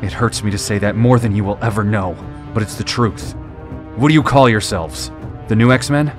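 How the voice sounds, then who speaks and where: Man voicing veteran hero leader, terse, firm, mid pitch, loud music. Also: normal speed, natural voice. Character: seasoned hero team leader, firm and protective.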